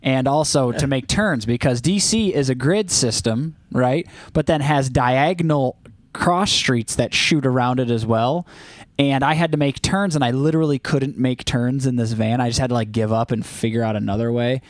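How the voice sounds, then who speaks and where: A man's voice: mid-range voice, normal speed, no room sound, clean studio sound. A man co-hosting a live stream in casual chat, talking in a chatty, playfully exclamatory way.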